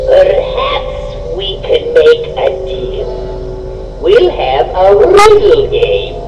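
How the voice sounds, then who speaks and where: Hissy greedy cave creature, croaky and crawling slow, muffled w/ loud music. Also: male voice, extremely high pitch, huge pitch swings, pitched above the performer's own voice, exaggerated voice. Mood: sly, hungry. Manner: slow, drawn-out, hissing. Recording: distant, echoing, dull, loud music behind.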